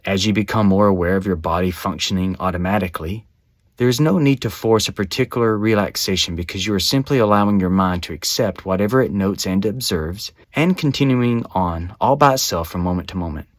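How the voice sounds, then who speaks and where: A man with a low voice leads a guided meditation from a script. His phrasing is measured and soothing, giving gentle instructions step by step. The sound is dry, with very little room in it. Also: no room sound.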